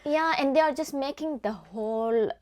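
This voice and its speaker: Halting young woman host. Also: high-pitched, a little mellow. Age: young adult. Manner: halting, restarts, frequent "like". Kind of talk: casual conversation.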